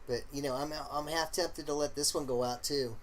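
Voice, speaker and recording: A man's voice: fairly high pitch, slight background noise. Rambling man hosting a live stream. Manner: meandering, halting, frequent fillers and throat clearing; relaxed, opinionated talk about smoking.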